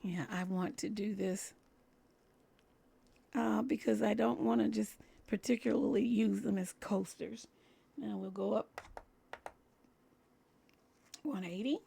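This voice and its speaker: A woman with a husky, gravelly voice talks through a craft project step by step. Her tone is dark and mellow, and a cold leaves it scratchy throughout. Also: mid-range voice, slow, long pauses. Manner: chatty, talks through each step as she works. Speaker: crafting channel host. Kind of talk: casual crafting tutorial talk.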